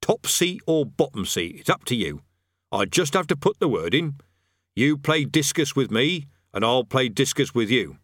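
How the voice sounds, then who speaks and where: A man voicing a smug, rough-spoken army sergeant who gloats with cheerful menace. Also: medium pitch, very animated, moderate pace, dry recording, clean studio sound, voice pushed up. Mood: gloating. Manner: coarse colloquial speech, gloating, cheerful menace.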